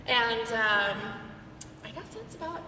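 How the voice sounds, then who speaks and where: A woman's voice: on the high side, sing-song, takes it slowly, pushed and bright. American woman panelist in a reverberant hall. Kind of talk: casual self-introduction. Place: large event hall. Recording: echoey hall, distant, clear ambient noise.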